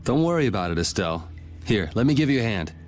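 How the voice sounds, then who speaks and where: A man's voice: lowish voice, very animated, fast talker, soft background music. An actor playing a cool, laid-back, cocky young swordsman in a video game. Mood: teasing.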